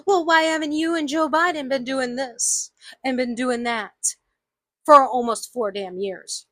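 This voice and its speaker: A woman's voice: high voice, unhurried, no echo, clean studio sound. A woman with a slight Southern US accent, ranting indignantly to camera in a video of her own, emphatic and repeating her points. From United States. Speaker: vlogger. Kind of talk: angry opinion rant to camera.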